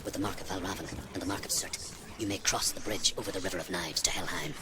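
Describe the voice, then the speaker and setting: Deep, solemn male lore guide by an actor. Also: steady tone, fast, background noise, close to an everyday voice. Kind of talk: dramatic game narration.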